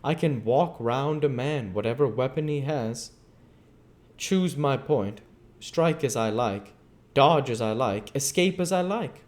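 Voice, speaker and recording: A man's voice: medium pitch, lively intonation, measured pace, a little mellow, voice pushed up, lightly acted. A man voicing a fanatical, megalomaniac schemer in a storybook reading, with emphatic, declamatory delivery. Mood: scheming, intense.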